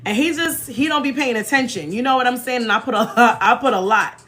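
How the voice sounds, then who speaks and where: An American woman in her thirties chatting casually as a livestream host, telling stories in an animated, playful, teasing way. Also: on the high side, fairly quick. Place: small indoor room in front of a banner.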